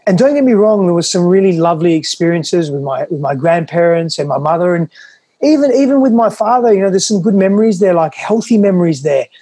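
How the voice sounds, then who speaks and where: A man's voice: high voice, animated, fast, mellow, husky, no echo. A man from Western Australia, whose was Greek, speaking earnestly and reflectively as a podcast guest, often restarting his phrases. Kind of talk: reflective interview talk.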